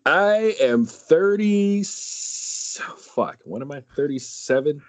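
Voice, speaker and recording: A man's voice: slow, no echo. A man in his late thirties from San Diego, a podcast guest chatting casually and swearing freely. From San Diego, USA. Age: 30s. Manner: swears freely, jumps high in pitch when animated. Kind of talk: casual chat.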